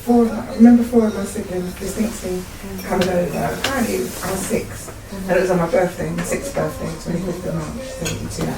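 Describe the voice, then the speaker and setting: A woman's voice: fairly low pitch, steady tone, a little fast, few pauses, a crowd in the background. A woman chattily recalling her childhood during a support group discussion. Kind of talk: personal storytelling in group discussion. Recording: echoey room, distant mic.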